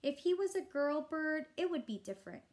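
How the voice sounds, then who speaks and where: Woman acting a pestering, high-voiced little boy. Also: big pitch swings, normal speed. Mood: matter-of-fact. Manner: insistent questioning, often yelling.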